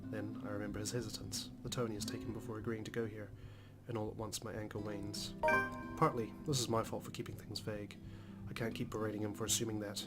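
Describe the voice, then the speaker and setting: Male let's play host, low pitch, dramatic read-aloud, visual novel narration, loud music bed. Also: level tone, fast. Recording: music clearly under the voice.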